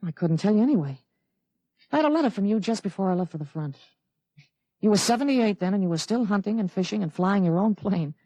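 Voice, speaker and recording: Casting: a performer as a self-assured, urgent teenage boy with a grown soldier's head, mid-range pitch, dry 1950s radio drama sound. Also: lively intonation, fast talker, dark tone, no echo, natural voice, rough recording. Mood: grave, insistent.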